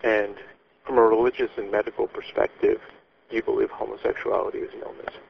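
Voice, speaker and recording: A man's voice: lowish voice, level tone, fast, vocal fry. A man calling in by phone, politely and somewhat tentatively putting a question. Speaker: caller asking a question. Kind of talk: polite spoken question on a call. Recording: noisy, muffled phone call.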